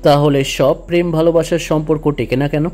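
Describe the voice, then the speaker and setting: An actor playing Romance personified as a cocky, argumentative lover in a scripted audio story, speaking in a theatrical, challenging way. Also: male voice, on the high side, steady tone, fast talker, warm tone, faint music behind, lightly acted. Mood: curious.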